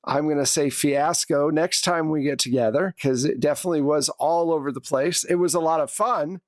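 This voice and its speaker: A man's voice: on the high side, fairly quick, dry recording, clean studio sound. A man acting as game master, narrating a tabletop role-playing game.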